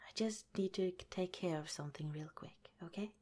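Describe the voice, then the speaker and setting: A woman voicing a gentle, caring female head scientist in an ASMR roleplay, whispering softly and reassuringly. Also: on the low side, moderate pace, lightly acted. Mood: soothing. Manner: soft, tender, reassuring. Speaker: institute director in an audio roleplay.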